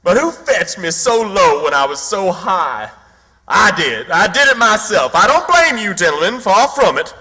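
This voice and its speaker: A man's voice: high voice, sing-song, unhurried, bright, forceful tone, slightly rough, big-room sound, exaggerated voice. A man acting in period radio theatre as a self-pitying con man posing as a wronged duke, melodramatic and theatrically mournful.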